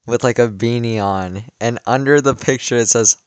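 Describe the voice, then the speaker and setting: A man's voice: medium pitch, normal speed, clear, resonant tone, no room sound, dull audio. A young man in his late teens tells a story in a rambling, casual way, full of "like" and restarts. His voice is a little worn from cheering. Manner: rambling, many "like" fillers and restarts. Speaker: vlogger.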